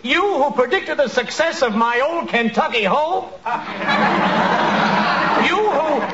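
On a dull, noisy 1940s radio comedy recording, an eager male hustler. He flatters and coaxes with emphasis in an extremely high, swooping voice. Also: slow pace, exaggerated voice. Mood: flattering.

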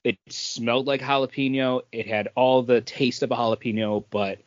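A man's voice: mid-range voice, fairly even intonation, moderate pace, no echo. Man chatting casually, dull lo-fi sound. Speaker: podcast host. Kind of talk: casual chat about craft beer.

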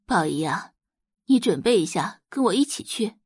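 A woman's voice: medium pitch, animated, moderate pace, a little mellow, slightly rough, no room sound, studio quality. A woman voicing a haughty, snobbish middle-aged society mother with a scornful, indignant manner, in an audio drama. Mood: composed, bossy.

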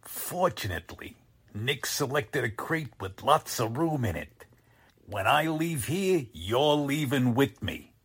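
A man's voice: mid-range voice, big pitch swings, slow, a bit bright, breathy edge, exaggerated voice. A man playing a suave, sardonic, coldly threatening con artist who poses as a count, in a radio drama. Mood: suave menace. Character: menacing male con artist posing as a Hungarian count.

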